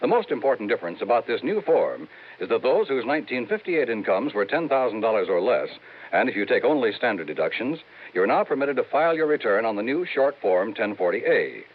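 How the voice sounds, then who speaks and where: A man announcing in a crisp, formal 1950s radio style. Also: medium pitch, big pitch swings, a little fast, slight background noise. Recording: tinny old broadcast recording.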